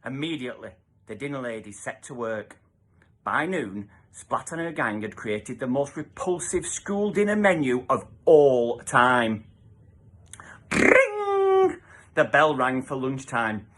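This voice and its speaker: A man's voice: mid-range voice, very animated, takes it slowly, fairly bright tone, a little hiss or hum. A man reading a children's story aloud as a theatrical narrator, with emphatic sound-effect words. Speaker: storybook reader.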